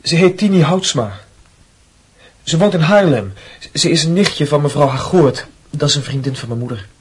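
An actor playing a polite, earnest young nephew in a radio drama. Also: male voice, fairly high pitch, lively intonation, moderate pace, husky. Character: dutiful young nephew confessing to his aunt.

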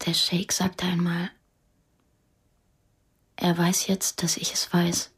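An actress playing a maimed young Afghan woman in a radio drama, speaking in short, simple, halting sentences with a husky, breathy voice. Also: fairly low pitch, no room sound, clean studio sound, heavily acted. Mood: hushed, secretive. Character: maimed young Afghan woman, hushed, plain-spoken survivor.

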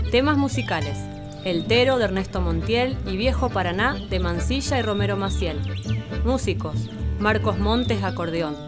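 A woman reading scripted narration and credits as the announcer of a children's radio play. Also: mid-range voice.

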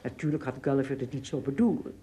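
A woman narrating a scripted adventure story for a radio play, in expressive storyteller style. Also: a bass voice, fast, music in the background.

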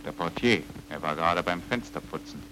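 Deep, bright, terse noir investigator. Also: male voice, animated, brisk, a little hiss or hum, poor audio. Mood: suspicious, pressing. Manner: terse, insistent. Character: dogged, suspicious man investigating a death. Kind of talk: German-dubbed film noir dialogue.

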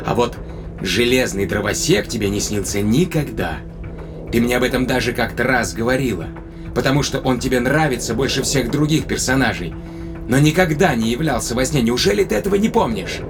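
A man's voice: medium pitch, expressive, brisk, natural voice. Man acting coaxing starship captain, music behind. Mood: probing, grave. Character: male spaceship captain, long-lived, tenderly probing his companion. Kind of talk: Russian audio drama acting.

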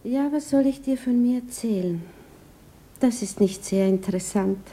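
Dark-voiced, shy widow. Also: female voice, on the high side. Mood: shy, modest. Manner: hesitant, self-effacing, halting. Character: shy, eager young widow, provincial piano teacher. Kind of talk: naturalistic 1960s radio drama acting.

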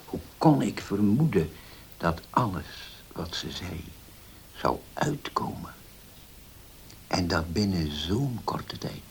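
A hissy old radio drama recording of a grave middle-aged father. His voice is very low and he speaks slowly and haltingly, weighed down by old betrayal. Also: sing-song, gravelly, exaggerated voice. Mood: sorrowful, solemn. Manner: halting, hesitant, solemn, with many pauses. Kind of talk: Dutch radio play acting.

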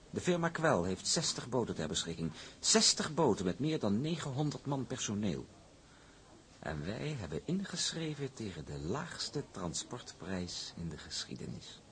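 An actor playing a smug, calculating shipping magnate in a radio drama, speaking in a self-satisfied, deliberate way. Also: male voice, mid-range voice, natural voice.

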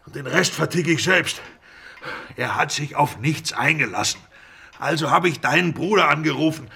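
Raspy menacing crook, tense hard-edged voice, radio crime play, pushy and self-justifying. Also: male voice, fairly high pitch, expressive, moderate pace, bright, forceful tone, heavily acted. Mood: scheming, self-justifying. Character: menacing scheming male crook, blustering about a botched deal. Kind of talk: radio crime drama acting.

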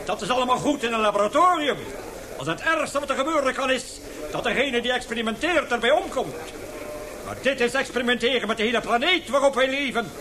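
A man's voice: very high-pitched, animated, quick pace, crowd noise. A man acting an indignant, stubborn old professor in a radio play, agitated and declamatory. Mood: angry, alarmed. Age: older.

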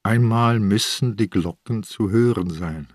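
An older man acting a gruff, wary, sick old police inspector in a German radio drama. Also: on the low side, a little slow, darkish tone, no echo, studio quality. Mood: brooding.

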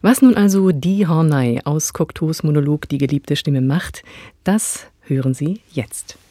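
A woman presenting a radio programme with a scripted introduction in a measured, formal way. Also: low voice, mellow, no echo.

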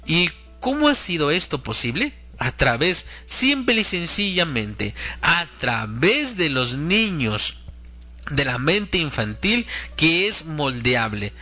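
Man preaching earnestly in a bright, forceful voice. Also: fairly high pitch, very animated, takes it slowly, faint music behind. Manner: earnest, admonishing, addresses listeners as friends and brothers. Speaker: religious radio program host and preacher. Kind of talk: religious talk, preaching to listeners.

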